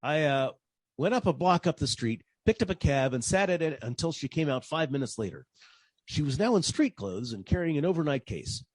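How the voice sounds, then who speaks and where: A man acts out a wry radio private eye, unflappable and quick with a crack. His husky, slightly high voice moves with lively intonation as he questions and narrates. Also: no room sound. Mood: narrating. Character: wisecracking, unflappable male private detective. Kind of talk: old-time radio detective drama acting and narration.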